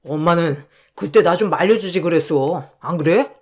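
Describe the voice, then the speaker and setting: A woman's voice: on the low side, moderate pace, breathy edge. A woman voicing a cold, self-pitying young husband who sounds weary and evasive as he complains. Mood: complaining.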